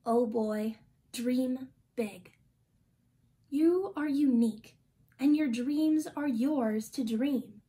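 Storytime reader: woman, 20s, high pitch, unhurried delivery, gently expressive, reading to kids. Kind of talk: reading a children's picture book aloud. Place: small indoor room.

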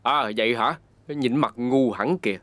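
A man voicing a rough, bossy young gang boss in a cartoon dub. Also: mid-range voice, unhurried, a bit bright, no room sound, natural voice. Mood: mocking. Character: gruff young male gang boss, short-tempered.

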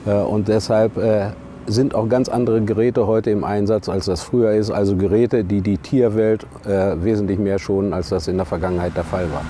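A man in his sixties explaining something in an interview, with measured, hesitant delivery, speaking outdoors. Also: on the low side, steady tone. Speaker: agricultural chamber spokesman being interviewed. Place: outdoors at a machinery fair field. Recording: faint outdoor machinery and ambient noise. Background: faint vehicle and outdoor noise.

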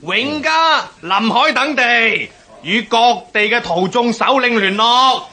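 An actor playing a boastful, bloodthirsty rebel warlord in a Cantonese historical radio drama, declaiming and commanding with a Hong Kong accent. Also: male voice, very high-pitched, takes it slowly, pushed and bright, exaggerated voice. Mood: scornful, plotting. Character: boastful, bloodthirsty male rebel warlord rallying his followers.

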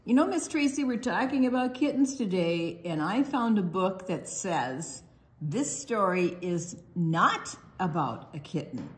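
A woman's voice: medium pitch, animated, unhurried. An older woman from Iowa, telling stories and reading picture books aloud to children. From Iowa, United States. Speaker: children's storytime presenter. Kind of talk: storytelling and picture-book read-aloud for children. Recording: faint background noise.

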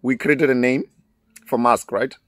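A man in his thirties from South Africa with a Venda accent, whose first language is Tshivenda, hosting a casual podcast outdoors on a close studio mic, laughing often and trailing off quietly. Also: mid-range voice, steady tone, unhurried. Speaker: podcast host. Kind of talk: casual solo podcast chat with phone call. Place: outdoors in a sunny garden. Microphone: large studio mic close up.

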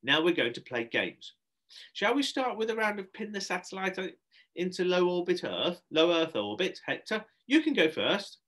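A man's voice: sits high, sing-song, moderate pace, fairly bright tone, voice pushed up, heavily acted. A man voicing an exasperated woman who entertains at children's parties, firm, insistent and easily flustered, in a storybook reading. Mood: firm warning.

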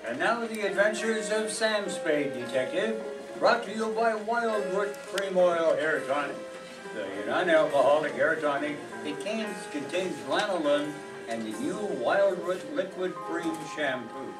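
A man's voice: on the high side, lively intonation, a little slow. An older man playing an old-time radio announcer in a live stage reenactment. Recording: echoey hall, distant mic, loud music.

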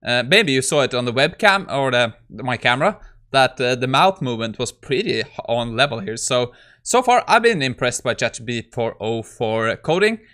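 A man's voice: medium pitch, lively intonation, normal speed, sharp tone. A man in his thirties giving a casual coding walkthrough as a tech YouTuber at his desk.

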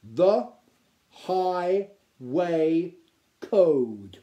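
Man acting young male gnome, storybook, raised pitch, lively, eager, halting, awkward. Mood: proud eagerness.

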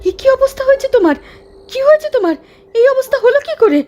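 A woman voicing a frightened village wife who talks in an anxious, shrill way. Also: expressive, a little fast, dull audio. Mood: terrified. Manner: shrill, anxious, cries out and pleads. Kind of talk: dramatized storytelling.

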